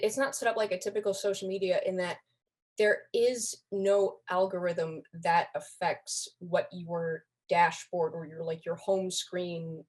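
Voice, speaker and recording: Lower-voiced young woman, chatting casually. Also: darkish tone. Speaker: podcast guest, online community moderator. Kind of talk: casual chat, explaining.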